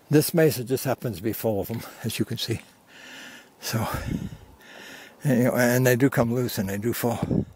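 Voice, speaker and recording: Gravelly older man vlogging on a hike. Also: medium pitch, a little mellow. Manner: folksy, self-deprecating. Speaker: hiking vlogger. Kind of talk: casual narration while hiking. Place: outdoors on a rocky desert mesa slope. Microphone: handheld camera at arm's length. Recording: outdoor handheld camera with ambient noise.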